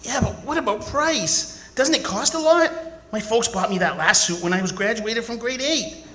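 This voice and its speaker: High, animated, unsure teenage boy played by a man. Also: normal speed. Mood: worried. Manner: hesitant, stumbling over first words. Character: earnest, unsure lovestruck high school boy. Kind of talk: old-time radio commercial skit.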